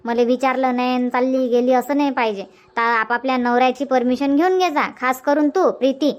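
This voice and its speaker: High-voiced bossy village auntie played by a woman. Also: fairly even intonation, brisk, muffled recording, close to an everyday voice. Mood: bossy, advising. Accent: Varhadi Marathi. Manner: chatty, insistent, repeats herself. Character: bossy, sociable village auntie organizing her friends. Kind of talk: cartoon comedy dubbing.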